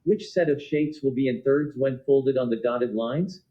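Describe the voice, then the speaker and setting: A synthetic computer voice reading test questions aloud in a flat, literal way, with a muffled playback sound. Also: medium pitch, normal speed.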